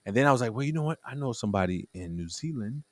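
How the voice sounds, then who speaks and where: A man hosting a casual conversation, telling stories in a rambling, animated way with frequent restarts. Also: mid-range voice, rapid-fire, no room sound. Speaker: podcast host.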